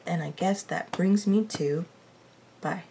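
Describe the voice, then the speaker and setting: Young woman, low raspy gravelly voice, casual self-deprecating bedroom vlogger, laptop mic. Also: slow, background noise. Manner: chatty, self-deprecating, swears under her breath. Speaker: TV reaction vlogger. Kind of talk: casual chat to camera. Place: her bedroom.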